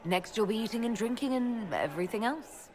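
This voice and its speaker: An actress playing a composed, caring elf mage leader with a wry streak in a video game. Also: female voice, on the high side, lively intonation, fairly quick, a little mellow, some chatter behind, natural voice. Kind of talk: game cutscene dialogue.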